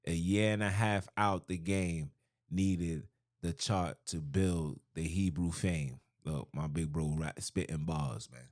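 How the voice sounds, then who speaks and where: A low-voiced man talks in a loose, rambling podcast style, full of slang and "you feel me" asides. He restarts his sentences and circles back to his points. His voice is recorded dry and close, with almost no room sound. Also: takes it slowly, darkish tone, dry recording. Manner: loose, street-slang talk with frequent "you know what I'm saying" and restarts. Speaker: podcast host. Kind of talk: casual religious talk.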